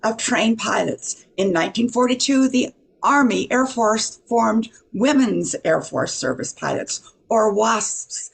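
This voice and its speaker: A woman's voice: on the high side, animated, slow, gravelly, husky, heavily acted. A woman narrating an amateur radio play from a script.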